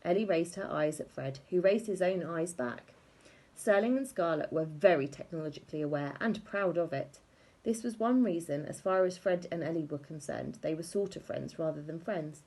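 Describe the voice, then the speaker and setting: A woman narrating a children's book aloud in an expressive scripted storytelling style. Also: on the low side, normal speed. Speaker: audiobook reader.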